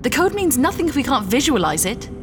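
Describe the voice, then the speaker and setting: Stressed scientist lady in a space audio drama, urgent, lively pitch, music behind. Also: fast talker. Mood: insistent, defensive. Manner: urgent, exasperated, insistent. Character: defensive, pleading female neuroscientist, anxious but defiant.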